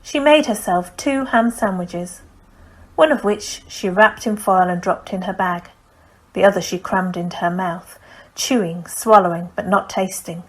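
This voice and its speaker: A woman's voice: mid-range voice, a little slow, poor audio. A woman reading a novel aloud as an audiobook narrator in a scripted storytelling style.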